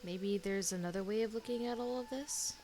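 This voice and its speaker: A woman's voice: medium pitch, brisk, mellow, slight background noise. A woman streamer giving casual, informal reaction commentary while playing a game. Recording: game music behind the voice.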